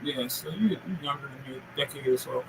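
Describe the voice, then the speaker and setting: Male podcast host, forties. Forceful high voice, loose profane street-slang storytelling, faint noise behind. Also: quick pace, gravelly, rough recording. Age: 40s. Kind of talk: casual interview chat.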